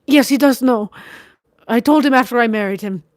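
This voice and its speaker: A woman's voice: sits high, moderate pace, dry recording, pitched above the performer's own voice, close to an everyday voice. A woman voicing a passionate young noblewoman who pleads and turns bitter, in a dry audiobook reading. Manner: vehement, pleading, near tears.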